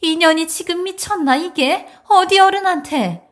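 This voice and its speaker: A woman's voice: sits high, animated, normal speed. A woman playing a brazen, indignant older mother-in-law in a dramatized story, arguing with haughty scorn. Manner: scornful, argumentative.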